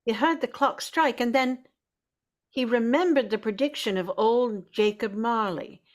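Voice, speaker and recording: A woman narrating a radio drama as a storyteller, in a measured, literary manner. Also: on the high side.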